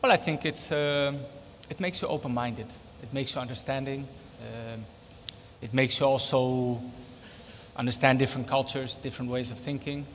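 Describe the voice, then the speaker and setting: A middle-aged man whose first language is Spanish, giving thoughtful, measured interview answers and repeating points for emphasis. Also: mid-range voice, long reverb tail, a little hiss or hum. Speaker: interviewee, football technical advisor.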